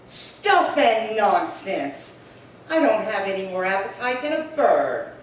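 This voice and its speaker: A woman's voice: mid-range voice, big pitch swings, takes it slowly. Haughty old sister in an echoey room, woman acting. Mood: huffy. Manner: huffy, scornful, old-fashioned speech. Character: haughty, bossy elderly sister of about eighty. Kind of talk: amateur re-creation of 1950s radio crime drama. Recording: very echoey room, distant mic, faint noise.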